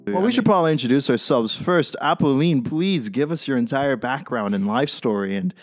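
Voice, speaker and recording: A man's voice: mid-range voice, expressive, a little fast, dry recording, studio quality, faint music behind. A young man from California with a Californian accent, co-hosting a casual podcast, joking and rambling with many ums. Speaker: podcast co-host. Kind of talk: casual chat.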